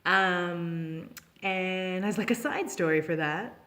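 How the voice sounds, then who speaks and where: A woman's voice: on the low side, takes it slowly. A young adult woman with a Canadian accent tells a personal anecdote in an informal, chatty vlog style. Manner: animated when acting out dialogue, informal. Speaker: storytelling vlogger. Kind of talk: casual anecdotal storytelling.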